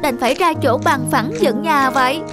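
A woman voicing a cute, excitable young rabbit in a children's cartoon, exclaiming theatrically. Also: high voice, moderate pace, music in the background, natural voice. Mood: dismayed.

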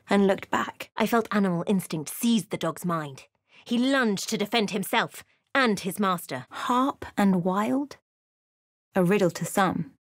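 A watchful fantasy game heroine recounting her travels. She speaks in a measured, dramatic storyteller's way with a wry edge. Her mid-pitched female voice is recorded very dry. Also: no echo. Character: observant young adventuress, a fantasy game companion recounting her journey. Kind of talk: video game voice lines, dramatic narration and remarks.

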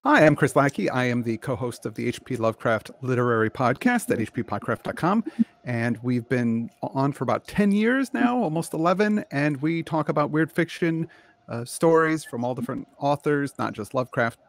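A man with a medium-pitched voice introduces himself casually. He sounds conversational, speaking as a panelist over a video call. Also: expressive, moderate pace, no room sound. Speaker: panelist, podcast co-host.

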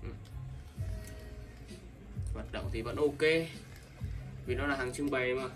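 Male seller, casual repetitive pitch, off-mic with background music. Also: fairly even intonation, slow pace. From Vietnam. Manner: repetitive, many fillers, addresses buyers as brothers. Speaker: fishing tackle seller. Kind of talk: casual sales livestream. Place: indoors, table of fishing reels. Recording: distant mic, music playing behind.

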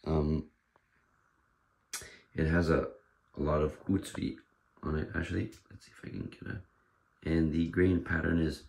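A man with a hoarse, fading voice, casually showing off a sword with frequent pauses and restarts. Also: very deep voice, flat delivery, a little mellow, low-quality recording. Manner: halting, with many fillers and restarts. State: hoarse, losing his voice. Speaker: sword dealer presenting a katana. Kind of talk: casual show-and-tell.

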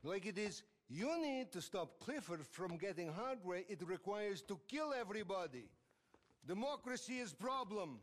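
A man acting a gruff, old-fashioned character with blunt, broken grammar in a video game. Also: high voice, big pitch swings, normal speed. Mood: grumbling.

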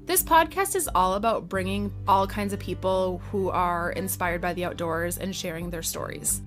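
A woman's voice: on the low side, moderate pace, soft background music. A woman hosting a podcast, introducing and interviewing a guest.

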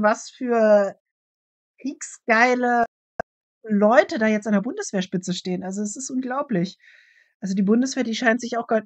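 Young woman, middle pitch, very dry sound, blunt, indignant, casual commentary. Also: no echo, muffled recording. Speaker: reaction streamer, commentator.

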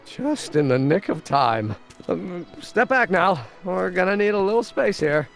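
High-pitched, excitable young inventor. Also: male voice, a little slow, vocal fry, soft background music, heavily acted. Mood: nervous excitement. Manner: formal, theatrical, excitable. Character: nervous, lovestruck young male inventor, easily flustered and dramatic. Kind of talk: animated video game acting.

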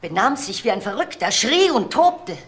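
Lively frank house cook. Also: female voice, on the high side, normal speed. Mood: frank, gossipy. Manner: frank, matter-of-fact telling. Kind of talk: German crime radio drama acting.

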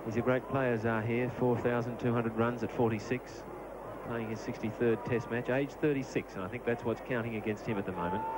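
A man's voice: medium pitch, fairly even intonation, fairly quick. A man explaining cricket replays in a conversational, opinionated way as a summariser. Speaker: cricket commentator, expert summariser. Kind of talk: replay analysis commentary. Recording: noisy old broadcast tape.

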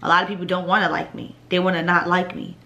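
A young woman in her twenties with a deep voice, chatting bluntly and profanely through a Q&A vlog at home. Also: fairly even intonation, fast, gravelly, bright, forceful tone. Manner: blunt, profane, laid-back with laughs. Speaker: vlogger answering viewer questions. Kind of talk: casual Q&A vlog. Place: living room at home, indoors.

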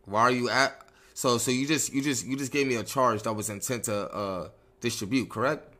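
A man's voice: mid-range voice, moderate pace, bright, forceful tone. A man improvising game roleplay as a suspect in casual street slang, terse and defiant in manner. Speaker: streamer role-playing a suspect.

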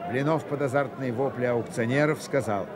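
A man narrating a dramatised novel for Soviet radio theatre in a measured, expressive storytelling manner. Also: mid-range voice, noisy background. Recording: 1980 radio play, crowd behind.

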